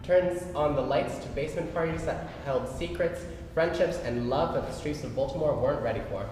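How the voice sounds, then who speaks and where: A man's voice: fairly high pitch, fairly quick, darkish tone, recorded from a distance. A man presenting at a live staged reading, reading out a scripted scene introduction from afar in an echoey, noisy hall. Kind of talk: scripted introduction read aloud.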